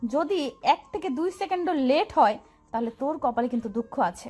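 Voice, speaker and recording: A woman's voice: sits high, lively intonation, normal speed, dark tone. A woman playing a haughty young rich madam in an audio story, imperious and scornful as she bosses a servant. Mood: scornful, threatening. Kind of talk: Bengali audio story acting. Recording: music bed under voice.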